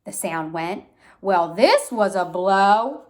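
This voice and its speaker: A woman reading a storybook expressively to young children, pausing to explain simply and ask questions. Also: mid-range voice, animated, a little mellow. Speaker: read-aloud teacher for young children.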